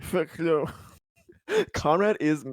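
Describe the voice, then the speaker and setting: A man's voice: on the high side, wildly swooping pitch, drawn-out words, no room sound. A man playing a tabletop game, bantering casually in a wry, salty way.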